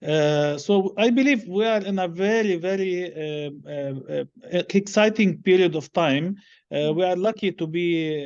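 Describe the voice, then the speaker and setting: A middle-aged man with a Lebanese accent answering interview questions in halting, hesitant phrases. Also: on the high side, darkish tone, dull audio. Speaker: interview guest, business executive.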